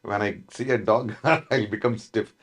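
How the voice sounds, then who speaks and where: Low-pitched man with a south indian accent, chatty interview guest, keeps laughing mid story. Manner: animated storytelling, laughing often, halting restarts. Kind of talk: casual interview chat.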